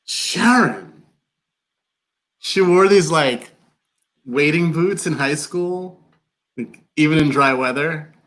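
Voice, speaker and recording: A man performs an incredulous, fixated fellow in a stage play reading. His voice sits a bit high, and he wanders wistfully off the point, full of disbelief. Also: takes it slowly, long pauses, fairly bright tone. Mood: wistful, fascinated. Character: incredulous, fixated man hearing gossip about an old neighbor.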